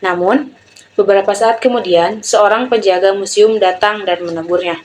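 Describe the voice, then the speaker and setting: A woman's voice: mid-range voice, fairly even intonation, moderate pace, a little mellow, a little hiss or hum, rough recording. A young woman giving a prepared student presentation, telling and explaining an example. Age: young adult.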